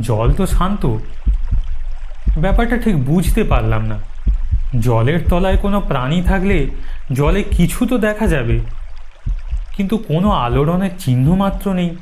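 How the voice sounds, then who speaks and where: Male horror narrator, fairly high-pitched, dramatic suspenseful pacing with pauses, music underneath. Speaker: narrator of an animated horror story. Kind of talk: dramatic first-person scripted storytelling.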